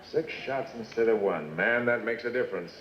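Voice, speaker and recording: A man's voice: on the low side, expressive, normal speed, close to an everyday voice. Man acting a blunt frontier sheriff, muffled noisy recording. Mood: firm, matter-of-fact. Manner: blunt, folksy Western talk. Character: firm, gruff frontier sheriff, hard on drifters. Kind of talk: old-time radio western acting. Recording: muffled, noisy, echoey old radio recording.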